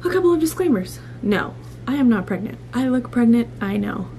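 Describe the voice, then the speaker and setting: A woman's voice: on the high side, lively intonation, brisk, mellow. A woman in her thirties chatting informally and self-deprecatingly to her camera in a small bedroom, as a vlogger. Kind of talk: casual vlog chat to camera. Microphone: camera at arm's length or set nearby. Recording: noisy camera audio with room hum.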